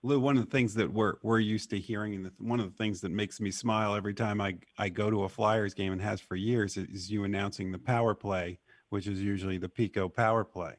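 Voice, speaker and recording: A man's voice: fairly low pitch, fairly even intonation, fairly quick, no echo, studio quality. A man co-hosting a casual sports interview, asking chatty questions. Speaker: interview co-host.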